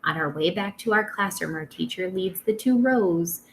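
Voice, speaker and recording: A woman teacher reading aloud to young children in an expressive, playful, dramatic way. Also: fairly low pitch, moderate pace, roomy sound, a little hiss or hum, rough recording.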